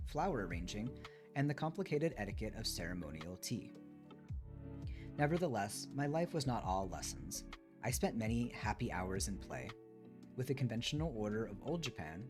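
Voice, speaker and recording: A man reading a memoir aloud on a stream in a measured, careful storytelling delivery. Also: on the low side. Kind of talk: live read-aloud of a memoir, with casual asides. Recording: music behind the voice. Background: guitar music.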